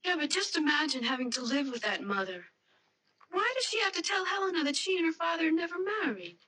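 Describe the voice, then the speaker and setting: A woman's voice: high-pitched, lively intonation, fairly quick, sharp tone, rough recording. An actress playing a compassionate, anxious young woman in a radio drama, earnest and questioning. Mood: sympathetic, questioning.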